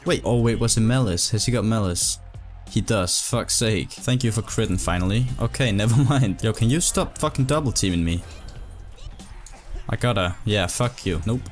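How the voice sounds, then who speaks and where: A young man from Denmark giving casual, exasperated, sweary commentary while playing a video game. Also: lowish voice. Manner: sweary, exasperated gamer talk. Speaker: gaming video host.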